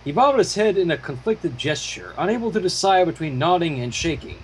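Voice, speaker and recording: A man reading fan fiction aloud in an expressive way, with casual asides. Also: a little high, brisk, poor audio. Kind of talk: story narration with casual commentary. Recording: home microphone with clear noise.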